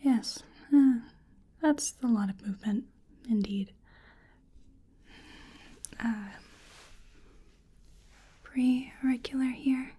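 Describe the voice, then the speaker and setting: A woman playing a hesitant, curious creature researcher in a soft-spoken, whispered ASMR exam roleplay, musing gently and apologetically. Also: high voice, very slow, pauses often, slightly rough, full character voice. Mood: hesitant, apologetic.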